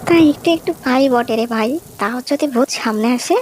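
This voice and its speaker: A woman's voice: high voice, moderate pace, background noise, natural voice. A woman voicing a brave, caring village girl and big sister in a cartoon, with an earnest manner. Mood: anxious. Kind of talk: animated cartoon voice acting.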